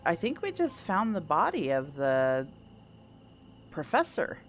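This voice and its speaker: A woman's voice: fairly low pitch, huge pitch swings, measured pace, a little hiss or hum. A woman doing casual playthrough commentary, thinking aloud and asking herself questions as she goes. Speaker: gameplay host.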